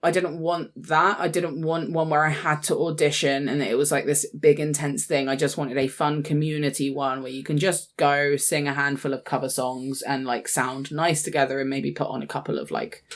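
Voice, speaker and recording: Young british woman w a low voice, casual podcast chat, kinda rambly, restarts her sentences. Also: level tone, normal speed, hardly any pauses. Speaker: podcast co-host.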